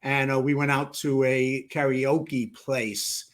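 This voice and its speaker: A man's voice: mid-range voice, flat delivery, slow. A man hosting a podcast interview, teasing his guest in a playful, chatty way with many restarts. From New York. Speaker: podcast host. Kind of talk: casual interview chat.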